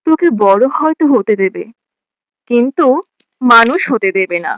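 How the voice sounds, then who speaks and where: Woman reciting as an anguished, high-voiced mother. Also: lively intonation, a little slow, lo-fi sound. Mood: anguished, bitter. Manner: plaintive, bitter, theatrical. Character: anguished young mother lamenting to her newborn daughter.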